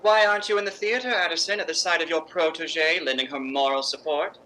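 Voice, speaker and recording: Man playing an imperious, sharp-voiced aging stage diva. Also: fairly high pitch, a little hiss or hum. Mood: indignant. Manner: theatrical, sardonic, emphatic drawn-out phrasing. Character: imperious, bitter, witty middle-aged stage actress. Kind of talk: 1950s radio drama acting.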